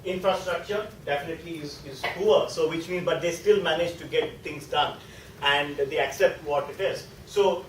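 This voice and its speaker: A South Indian man gives an informal lecture in a conversational, explanatory way, often adding a short tag question. His voice sits a little high for a man and carries a South Indian accent. He is recorded at some distance from the microphone in an echoey room, with faint noise behind him. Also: normal speed. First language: a South Indian language. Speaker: guest lecturer.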